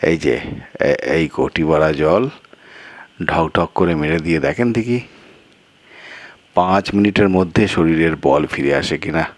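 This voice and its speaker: A man's voice: very deep voice, slow, pauses often, dry recording, full character voice. A man voicing a sly, evasive village local in a storytelling piece, with a drawling, folksy and boastful manner. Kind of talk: acted storytelling dialogue.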